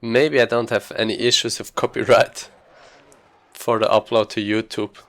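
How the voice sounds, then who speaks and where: A man's voice: lowish voice, flat delivery, normal speed, a bit bright. A man giving casual live commentary as he streams a speedrun, informally thinking aloud while he plays.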